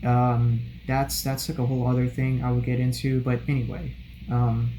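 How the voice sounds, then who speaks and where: A young man talking casually to camera, animated and digressive, thinking out loud as he answers questions. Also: moderate pace. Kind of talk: casual rambling talk to camera. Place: hotel room. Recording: noisy low-grade home recording.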